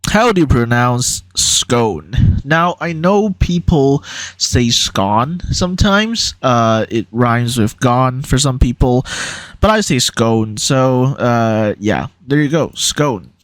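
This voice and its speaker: A man's voice: medium pitch, lively intonation, slow, no echo. A young man from Hong Kong with a neutral American-ish accent, casually talking through an online quiz in a hesitant, rambling way with many fillers. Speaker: YouTuber.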